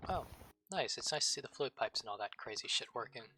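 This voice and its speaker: A man's voice: mid-range voice, lively intonation, quick pace, sharp tone. A man in casual gaming chat, joking and rambling with playful, absurd asides. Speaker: streamer co-host.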